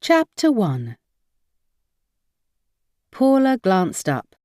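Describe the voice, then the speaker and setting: A woman's voice: mid-range voice, huge pitch swings, drawn-out words, mellow, no room sound, studio quality. A woman reading a crime novel aloud as scripted audiobook narration.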